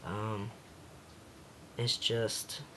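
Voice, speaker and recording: A man with a slightly raspy voice talking hesitantly in a casual home video diary, with many pauses and fillers. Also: fairly low pitch, flat delivery, sharp tone, slight background noise.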